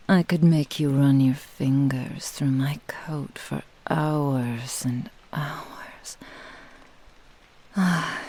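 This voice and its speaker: A woman voicing a vain, possessive kitsune witch in an intimate ASMR roleplay, speaking in a breathy, lingering whisper. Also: sits low, mellow, full character voice. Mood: possessive, enticing. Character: vain possessive seductive female nine-tailed kitsune witch. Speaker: roleplay audio voice actor.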